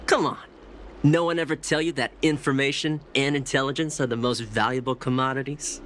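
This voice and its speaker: A man's voice: medium pitch, fairly even intonation, fairly quick, fairly bright tone, a little hiss or hum, close to an everyday voice. A man playing a greedy, shifty, blustering shop loafer in an anime game, with a smug, drawling, wheedling manner.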